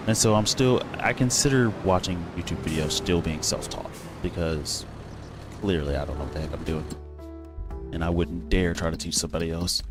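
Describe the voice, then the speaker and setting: A young man chatting casually while streaming a farming game, rambling with lots of fillers and self-corrections. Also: sits low. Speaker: gaming streamer.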